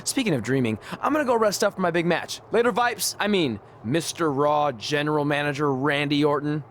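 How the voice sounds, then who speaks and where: A man's voice: a little high, expressive, a little fast, a bit bright, a little hiss or hum. A man playing a goofy, eager, boastful young wrestler with a jokey manner in a video game. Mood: playful. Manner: jokey, cheerful. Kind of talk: video game cutscene acting.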